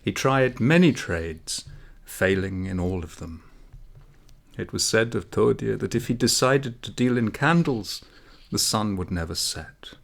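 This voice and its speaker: A man reading a comic folk tale aloud as a storyteller, in a measured, expressive way. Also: lowish voice, gravelly. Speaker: audiobook reader, storyteller.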